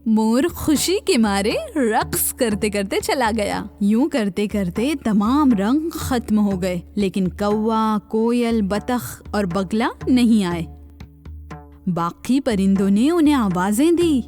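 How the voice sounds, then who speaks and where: A woman's voice: medium pitch, expressive, a little mellow, faint music behind. A woman reading a scripted children's story expressively, speaking directly to young listeners.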